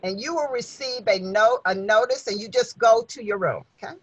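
A woman's voice: a little high, a little fast, breathy edge. A woman giving casual instructions as host of an online group meeting. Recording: slightly dull video call audio.

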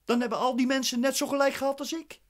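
An actor playing a dissatisfied defendant in a radio play, formal, assertive and politely cutting. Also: male voice, very high voice, fairly even intonation, fast talker, background noise. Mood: defiant.

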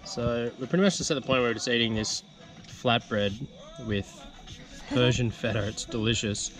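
A man's voice: mid-range voice, moderate pace, soft background music. A man in his twenties chatting casually in a laid-back way for a travel vlog, mostly outdoors. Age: 20s. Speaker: travel vlogger. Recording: dull lo-fi camera sound.

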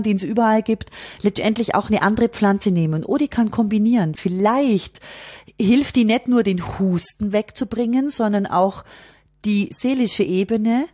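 A woman's voice: mid-range voice, darkish tone. Middle-aged woman. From Bavaria, Germany. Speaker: podcast guest, herbalist. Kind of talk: conversational interview, explaining from experience.

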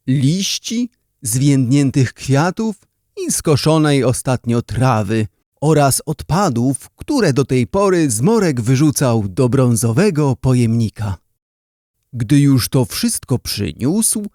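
Unhurried male storyteller with expressive intonation. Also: medium pitch, dry recording, studio quality. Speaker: narrator. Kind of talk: scripted children's story narration.

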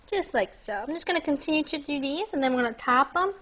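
A young woman hosting a cooking demonstration in her home kitchen, chatting brightly and speaking straight to her viewers. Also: high-pitched, expressive, fast. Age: young adult. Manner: bubbly, chatty, addresses viewers directly. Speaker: cooking show host. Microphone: lapel mic.

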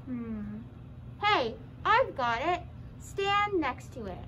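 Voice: sits high, sing-song, takes it slowly, sharp tone, dull audio, slight background noise, exaggerated voice. A young teen performer playing a confident puppet with daft logic in a comedy skit. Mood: earnest. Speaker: student puppeteer in a school drama show.

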